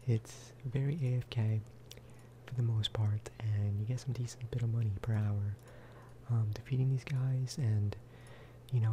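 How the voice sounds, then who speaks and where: A man giving a relaxed, casual ASMR gameplay commentary in a soft, breathy whisper, close to the microphone. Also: fairly low pitch, a little hiss or hum. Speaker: video game streamer and host.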